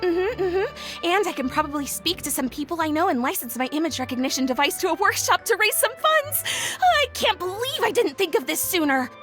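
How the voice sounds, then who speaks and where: A woman playing an excitable young female engineer in an anime-style game, earnest and melodramatic in her delivery. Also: extremely high pitch, a little fast, over music. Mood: excited, hurried. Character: dramatic, excitable young female inventor, small-time engineer.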